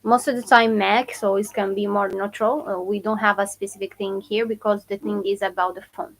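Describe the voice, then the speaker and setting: A young woman from Morocco with a Moroccan accent, teaching her dialect on a live stream and slowly repeating phrases for learners. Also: medium pitch, fairly even intonation, creaky. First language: Moroccan Arabic. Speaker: language teacher. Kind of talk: live-stream language lesson.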